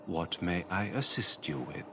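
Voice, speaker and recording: A man's voice: low voice, animated, measured pace, over music. An actor playing a courteous male merchant in a fantasy video game. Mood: polite, reserved. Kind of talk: video game character acting.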